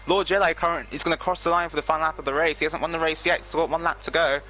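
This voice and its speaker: Bright-voiced man calling a sim race. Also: fairly high pitch, fairly even intonation, a little hiss or hum. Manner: quick stat-reading, chuckling. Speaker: sim racing co-commentator. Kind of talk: live esports race commentary.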